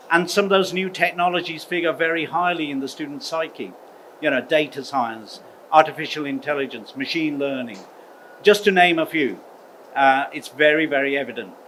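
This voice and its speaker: A middle-aged man from the UK, a university head of engineering, giving a measured formal address to students. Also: fairly high pitch, fairly bright tone, a little hiss or hum, rough recording. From United Kingdom. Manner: measured, uses "okay?" as a check-in.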